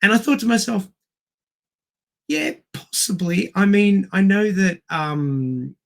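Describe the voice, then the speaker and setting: A man's voice: high voice, animated, takes it slowly, gravelly, a bit bright. A middle-aged man co-hosting a podcast, casually discussing film in an explanatory way and repeating words for emphasis. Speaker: podcast co-host.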